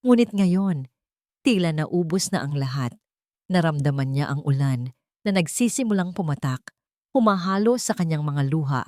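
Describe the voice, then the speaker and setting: A woman narrating a scripted drama in a steady storytelling manner. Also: fairly low pitch, no echo, studio quality.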